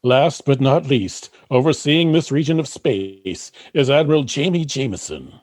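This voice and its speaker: A man's voice: mid-range voice, expressive, measured pace, a little mellow, no echo. A man narrating a comic sci-fi radio drama in a dry, announcer-like manner.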